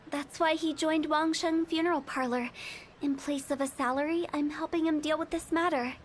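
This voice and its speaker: A woman's voice: high voice, fairly even intonation, normal speed, slight background noise, natural voice. A woman voicing a playful, self-assured young funeral parlor director in a video game, with a breezy, teasing manner. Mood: explanatory.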